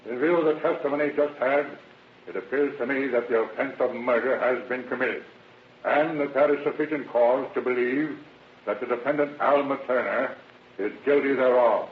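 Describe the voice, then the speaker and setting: An actor playing a solemn, measured judge issuing formal rulings in an old radio drama. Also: male voice, medium pitch, natural voice. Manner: measured, official. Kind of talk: 1940s radio acting. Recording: echoey, muffled, noisy old radio recording.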